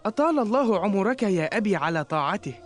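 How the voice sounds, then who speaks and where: A woman voicing an earnest, polite schoolboy in a children's cartoon, speaking expressive formal Arabic. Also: medium pitch, quick pace, close to an everyday voice. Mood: happy, grateful. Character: earnest, polite young schoolboy, easily downcast.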